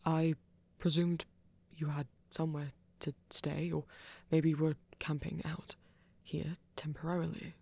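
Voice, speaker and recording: A woman playing a refined, flirty male vampire with a breathy voice and formal, careful phrasing in an intimate audio roleplay. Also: deep voice, level tone, measured pace, hardly any pauses, exaggerated voice. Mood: careful, concerned. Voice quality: breathy, whispery. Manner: formal, careful diction without contractions, gently teasing.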